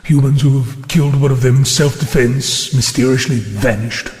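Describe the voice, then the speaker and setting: An actor playing an anxious, bossy older prisoner who is fretful, snappish and dramatic, in an audio drama. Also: male voice, medium pitch, steady tone, moderate pace, darkish tone, natural voice. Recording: echoey reverberant chamber, music behind.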